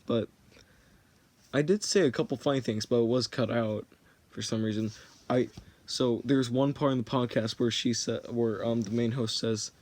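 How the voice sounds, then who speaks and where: A man's voice: mid-range voice, fairly even intonation, normal speed, darkish tone. A teenage boy rambling through a story in a casual, jokey way, often stopping to correct himself. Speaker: vlogger.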